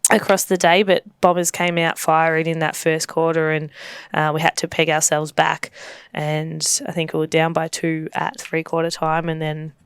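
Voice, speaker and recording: A low-voiced woman with a slightly croaky edge talks netball in an easy, chatty way. Her pitch stays fairly flat, and the sound is very clear and close-miked in a dry space. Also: normal speed, no echo. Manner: chatty, laughs often, many fillers. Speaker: co-host and netball coach. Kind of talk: casual sports chat.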